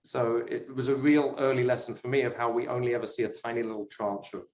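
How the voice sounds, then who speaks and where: A man's voice: mid-range voice, little pitch movement, fast, reverberant. A middle-aged man from the UK with a British accent, talking as an interview guest in a chatty, self-deprecating way with frequent fillers. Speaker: guest, sports investor. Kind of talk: casual interview talk.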